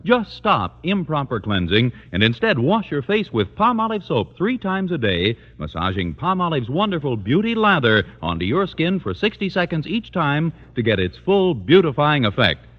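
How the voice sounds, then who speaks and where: A man's voice: fairly high pitch, huge pitch swings, moderate pace, few pauses. A man delivering a scripted 1950s radio advertisement as an emphatic, persuasive commercial announcer. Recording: dull vintage radio recording, long reverb.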